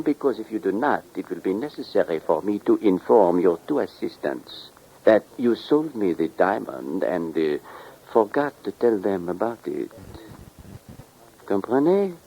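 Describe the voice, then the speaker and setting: An actor playing a sly French thief with a French accent, speaking formal, deliberate broken English with polite menace in a 1940s radio crime drama. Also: male voice, fairly low pitch, very animated, mellow, full character voice, low-quality recording. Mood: quietly threatening. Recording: vintage 1940s radio recording.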